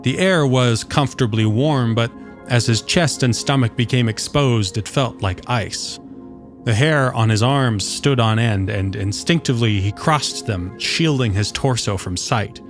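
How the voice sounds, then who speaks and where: A man's voice: mid-range voice, unhurried. A man reading scripted fiction as the narrator of a story. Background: soft guitar music.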